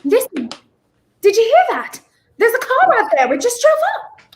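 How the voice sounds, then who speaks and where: A young woman acts out a feisty, prim young heroine of a 1930s radio thriller. The voice is very high and swoops with emphasis, recorded in an echoing room. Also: huge pitch swings, normal speed, full character voice. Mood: alarmed, insistent. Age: young adult. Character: feisty, indignant young woman, handcuffed unwilling companion. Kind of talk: 1930s radio play acting. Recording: roomy, echoing home recording.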